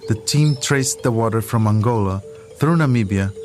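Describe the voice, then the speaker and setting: A man's voice: fairly low pitch, moderate pace, darkish tone, faint music behind. A man narrating a scripted documentary podcast as its storyteller.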